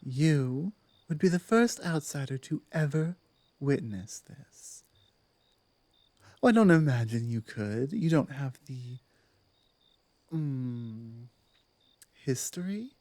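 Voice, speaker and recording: A woman voicing a dominant but softening werewolf pack leader in an intimate ASMR roleplay, speaking in measured, deliberate phrases with weighty pauses. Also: extremely low pitch, no room sound, heavily acted. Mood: earnest, explaining. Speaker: audio roleplay performer.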